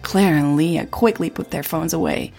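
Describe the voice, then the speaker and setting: A woman reading scripted audio drama narration in a husky voice. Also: sits low, moderate pace, a little mellow, faint music behind.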